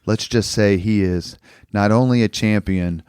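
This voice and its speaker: A man's voice: fairly low pitch, measured pace, a little mellow, clear, resonant tone, no echo, clean studio sound. A man hosting an interview podcast, introducing his guest and asking questions. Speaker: podcast host.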